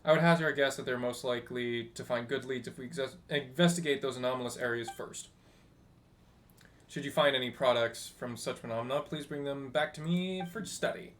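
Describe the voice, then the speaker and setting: A man voicing a chatty, teasing ship's technical officer from a game, reading the dialogue aloud in an animated, slightly halting way. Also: medium pitch, a little hiss or hum, natural voice. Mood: eager, explaining.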